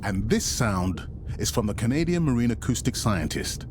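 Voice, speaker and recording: A man's voice: mid-range voice, sing-song, moderate pace, natural voice. A man playing an intense, principled research analyst in a video game, serious and emphatic. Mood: matter-of-fact. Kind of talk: naturalistic video game voice acting. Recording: clear background noise under voice.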